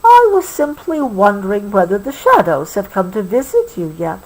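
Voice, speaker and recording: A woman voicing a gentle, reassuring kangaroo mother in a bedtime storybook, soft and patient. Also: mid-range voice, wildly swooping pitch, moderate pace, poor audio. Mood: knowing.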